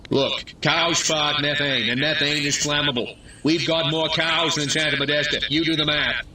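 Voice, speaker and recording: Pompous mayor, voiced by an actor, speechifying, sounding off-mic with clear noise behind. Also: male voice, on the high side, fairly even intonation, normal speed, distant mic, natural voice. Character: blustering small-town mayor, middle-aged man, pompous.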